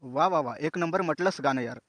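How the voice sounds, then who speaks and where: A man's voice: on the high side, fairly quick, fairly bright tone, dry recording, clean studio sound. A man playing the chatty, teasing host of a cartoon singing contest, talking in a folksy, colloquial way. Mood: breezy, hosting. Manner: colloquial, folksy, addressing viewers as friends. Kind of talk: comic cartoon show presenting.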